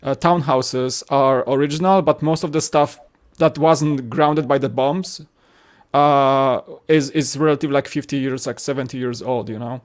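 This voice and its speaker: A man's voice: medium pitch, steady tone, measured pace. A man from Poland with a Polish accent, a podcast co-host chatting casually and explaining at length with frequent restarts. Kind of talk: casual chat.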